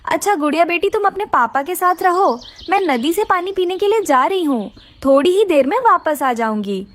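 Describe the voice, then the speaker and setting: Woman as high, lively mother bird. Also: normal speed. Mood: affectionate. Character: caring, polite adult female bird, wife and mother. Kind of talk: animated story dialogue.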